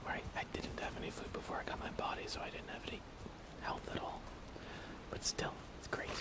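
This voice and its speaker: A man giving murmured ASMR commentary while livestreaming a video game, often repeating his phrases in playful mock grumbling. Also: brisk. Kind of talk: whispered ASMR gaming commentary.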